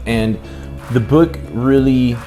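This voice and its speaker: A man's voice: mid-range voice, slow, dark tone, soft background music. A middle-aged man hosting a casual vlog chat, emphatic and often restating his points. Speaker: host of a couple's book-review vlog.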